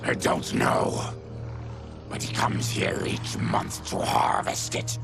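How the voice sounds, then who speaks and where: An actor playing a proud, embittered disfigured mutant leader with a gravelly growl in a video game cutscene. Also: male voice, low voice, lively intonation, slow, music in the background, exaggerated voice. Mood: grave, guarded.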